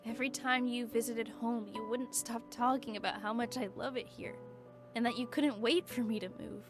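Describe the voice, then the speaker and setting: A woman's voice: a little high, fairly even intonation, normal speed, over music, natural voice. A woman voicing a stubborn young mage who feels left behind, speaking heartfelt and stammering when upset. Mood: hurt. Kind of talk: visual novel voice acting.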